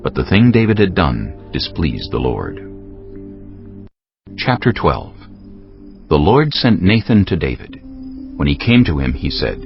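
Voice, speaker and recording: Male Bible narrator, extremely low and gravelly, expressive delivery of scripted scripture, faint music beneath. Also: measured pace, pauses often. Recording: slightly dull audio.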